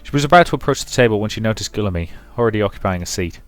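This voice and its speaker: A man's voice: lowish voice, expressive, racing, soft background music. A man doing a let's play, reading a visual novel aloud and dropping in casual, chatty asides.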